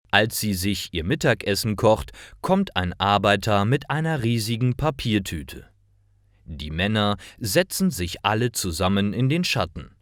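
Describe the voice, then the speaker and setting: A man reading short stories for language learners in a careful, measured, clearly enunciated scripted narration. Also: lowish voice, fairly bright tone, no echo, studio quality.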